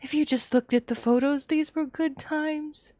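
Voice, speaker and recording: A woman's voice: sits high, fairly even intonation, moderate pace, mellow. A woman giving casual, emotive live commentary while streaming games, with sighs and folksy mild exclamations.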